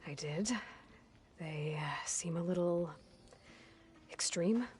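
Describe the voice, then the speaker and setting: An actress playing a curious, wry outsider adventurer in a video game, asking questions in conversation. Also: female voice, on the low side, slow pace, a little mellow, a little hiss or hum. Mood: curious, skeptical.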